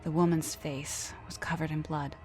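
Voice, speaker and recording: A woman with a lowish voice tells a horror story. Her delivery is scripted and dramatic, sinking into hushed phrase endings, with faint music behind her. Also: flat delivery, moderate pace, slightly rough. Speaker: narrator. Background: background music.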